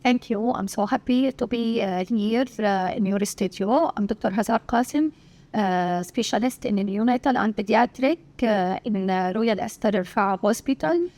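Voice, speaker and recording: A woman from Syria with an Arabic accent, a pediatrician answering interview questions haltingly, with many pauses and filler sounds. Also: mid-range voice, darkish tone. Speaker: pediatrician guest.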